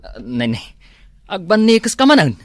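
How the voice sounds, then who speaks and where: Man playing animated, whiny Ilocano henpecked husband. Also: high-pitched, normal speed, no echo. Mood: pleading. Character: henpecked, whining village husband itching to go drinking. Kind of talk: Ilocano comedy drama acting.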